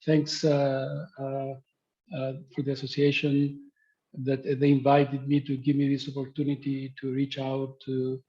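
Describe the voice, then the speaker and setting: A man's voice: medium pitch, completely flat delivery, unhurried, warm tone. Dull-sounding man lecturing over a call. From Greece. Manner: hesitant, many fillers. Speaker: guest speaker, professor. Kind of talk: webinar lecture. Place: remote spot in the countryside. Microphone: video call.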